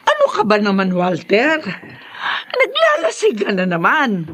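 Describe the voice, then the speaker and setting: A woman's voice: medium pitch, wildly swooping pitch, measured pace, fairly bright tone, slight background noise. An actress playing a fretful, nagging middle-aged rich mother in a radio drama, scolding with a Filipino accent. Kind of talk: Tagalog radio drama acting.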